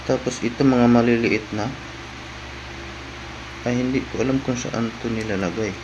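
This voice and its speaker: A man giving a casual, halting unboxing narration as a vlogger, often tagging phrases with a questioning word. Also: mid-range voice, steady tone, lo-fi sound, noisy background.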